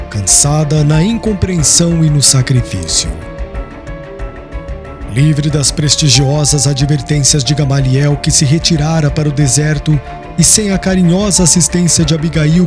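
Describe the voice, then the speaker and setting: A Brazilian man narrates a literary audiobook in a somewhat high-set voice. His delivery is solemn and measured, with phrases settling downward as they end. Faint music plays behind him. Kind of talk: scripted literary narration of a religious historical novel. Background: background music.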